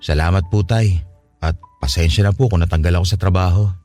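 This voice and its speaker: A man's voice: deep voice, a little fast, mellow, faint music behind. An actor playing a weary, worried middle-aged Filipino father, earnest and respectful, in radio drama style. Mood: downcast, grateful.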